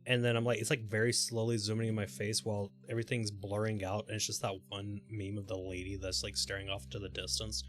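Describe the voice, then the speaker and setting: A man's voice: lowish voice, flat delivery, fast, few pauses, fairly bright tone, faint music behind. A man livestreaming a video game with casual, playful commentary and offhand swearing. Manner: playful, profane, goofy vocal asides. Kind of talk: casual live gameplay commentary.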